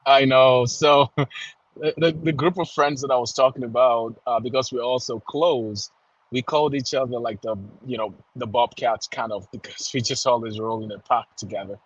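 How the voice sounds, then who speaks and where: Husky Nigerian man, slightly muffled audio. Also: mid-range voice, steady tone, moderate pace, dry recording. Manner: informal, repeats "you know" and "man". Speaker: soccer club founder, podcast guest. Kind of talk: casual interview chat.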